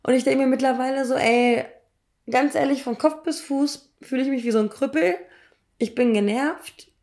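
A woman in her thirties, hoarse from illness, tells personal stories in a casual, emphatic, slangy way. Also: sits high, moderate pace, a little mellow. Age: 30s. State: hoarse, ill. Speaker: podcast co-host.

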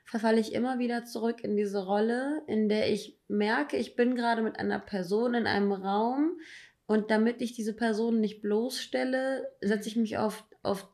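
A woman with a slightly higher voice talks things through in a casual chat. She reflects out loud and stresses her points with emphatic, slangy phrasing. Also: steady tone, moderate pace.

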